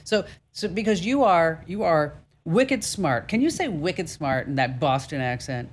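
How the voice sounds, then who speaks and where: A woman's voice: deep voice, lively intonation, a little slow, a little hiss or hum. A woman hosting a call-in radio show, giving tech advice in a chatty, upbeat and reassuring way with many fillers.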